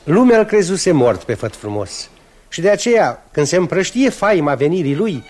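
A man narrates a fairy tale with lyrical feeling, his voice rising and falling richly. Soft music sits behind him in an old radio production. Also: on the high side. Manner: lyrical and expressive.

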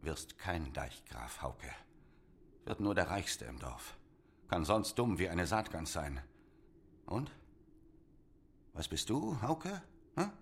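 A poor, sardonic middle-aged father in a radio drama. His low voice moves slowly and deliberately, yet rises and falls widely in a wry, resigned way. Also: sing-song, vocal fry, a little hiss or hum, exaggerated voice. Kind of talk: German radio play acting.

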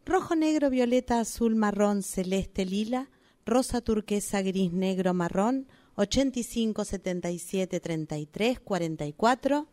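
A woman's voice: medium pitch, animated, unhurried, dark tone, dry recording. A woman reciting lists in an acting exercise. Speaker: radio drama workshop participant. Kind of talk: acting exercise, listing numbers and colours.

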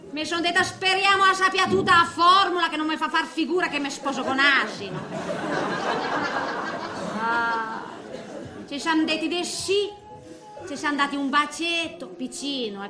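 A woman's voice: high-pitched, pushed and bright. An actress playing a sentimental, gossipy woman of eighty in a theatrical comic monologue, rambling colloquially with a regional Italian accent. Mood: fond, amused. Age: middle-aged. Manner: rambling, colloquial storytelling with exclamations and muttered asides. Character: eighty-year-old Italian care-home woman, sentimental, feisty, gossipy. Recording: echoey stage recording with faint noise.

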